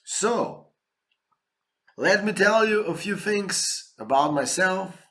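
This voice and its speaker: A man's voice: high voice, lively intonation, bright, forceful tone. A man from Slovenia, hosting his own podcast in an earnest, inspirational monologue. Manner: earnest, inspirational, drawn-out phrasing. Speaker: podcast host. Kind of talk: slow, reflective solo podcast monologue.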